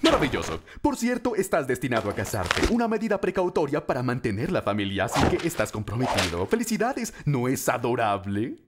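A man's voice: medium pitch, huge pitch swings, fairly quick, few pauses, very noisy. A man playing a flippant, pompous demon king father in a Latin American Spanish cartoon fan dub, theatrical and breezily dismissive. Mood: grandly indifferent. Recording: music behind the voice.

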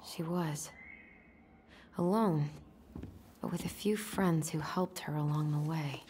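Thoughtful young woman, lowish pitch, natural game dialogue, a bit hesitant. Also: takes it slowly, darkish tone, a little hiss or hum. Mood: wistful. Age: young adult. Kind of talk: naturalistic video game acting.

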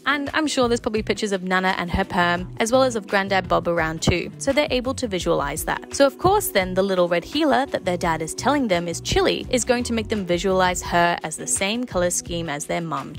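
A woman's voice: mid-range voice, lively intonation, a little fast, few pauses. Australian woman narrating over light music. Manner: conversational, addresses viewers directly. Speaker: YouTube host, cartoon fan. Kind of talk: scripted video essay narration.

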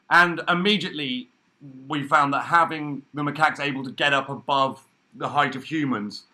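A man in his thirties giving a relaxed, chatty workshop talk over a video call from an office room, with frequent ums. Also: mid-range voice. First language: English. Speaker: workshop speaker. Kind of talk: casual presentation. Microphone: laptop webcam video call.

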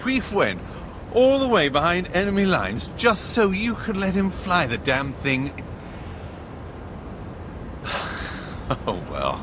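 An actor playing a cynical, commanding British army major in a radio drama, with a clipped, sardonic way of talking. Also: male voice, exaggerated voice. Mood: exasperated, resigned. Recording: radio drama with loud vehicle rumble.

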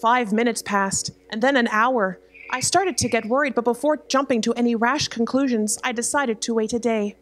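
A woman playing a literal-minded self-aware garbage spaceship, measured and matter-of-fact in a staged sci-fi comedy drama. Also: on the high side, natural voice. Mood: reflective. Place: theatre stage, live performance. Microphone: stand microphone.

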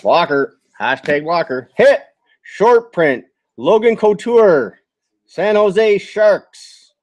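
High-pitched man calling out cards slowly. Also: lively intonation, a little mellow, highs cut off. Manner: clipped, listing calls in short bursts. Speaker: host of a sports card box break. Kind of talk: live card-opening commentary, terse announcing. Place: card shop with onlookers seated nearby.

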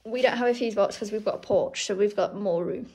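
A woman's voice: mid-range voice, fairly quick, mellow. A woman casually showing viewers around an empty house, recorded on a handheld phone. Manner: chatty, narrating as she walks, often drops to a whisper. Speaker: vlogger touring a house. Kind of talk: casual home tour. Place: empty new-build house, bare rooms. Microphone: phone held while filming.

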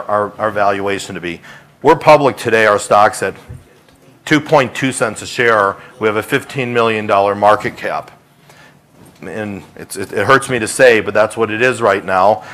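A middle-aged man with a fairly low voice pitches his company to investors. He talks informally, like a conversational salesman, and often rewords his points as he goes. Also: fairly bright tone. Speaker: company executive giving an investor presentation. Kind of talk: informal business pitch to an audience. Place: hotel conference room with seated audience.

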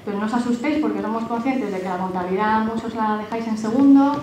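A woman's voice: on the high side, fast, a little mellow. Woman in a very echoey hall. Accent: Spain. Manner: reassuring, frequent checking tag "¿vale?". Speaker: recruiter speaking at a careers talk. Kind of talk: informational presentation to students. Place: large auditorium, panel table. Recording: very echoey hall, some noise.

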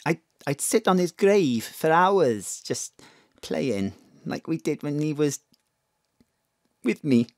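An actress playing a distraught bereaved mother in an audio drama, halting, stammering and pleading. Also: low-pitched, sing-song, a little mellow, no echo, clean studio sound, exaggerated voice. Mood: tearful, wistful.

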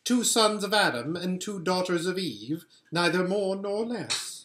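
Man playing coaxing witch queen with animated pitch. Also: unhurried, a little hiss or hum. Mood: probing. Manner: sweet-talking, imperious. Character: coaxing, scheming regal witch queen, grown woman.

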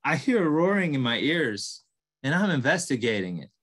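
A busy little male mouse from a storybook, wide-eyed and earnest, always asking what things are. His voice sits high and jumps about a lot as he questions everything, with a man acting him out over a video call. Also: big pitch swings, normal speed, a bit bright. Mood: curious. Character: small busy curious young male mouse.

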